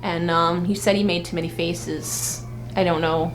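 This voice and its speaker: A woman's voice: fairly low pitch, steady tone, normal speed. A woman co-hosting a casual live fan vlog, talking in a rambling, repetitive, slangy way. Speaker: vlog co-host. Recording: noisy live-stream audio with hum.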